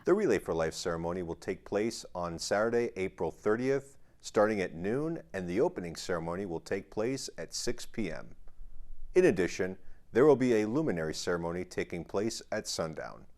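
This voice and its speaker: Young male local news reporter, lower-pitched voice, scripted delivery. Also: expressive, normal speed, a little mellow. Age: young adult.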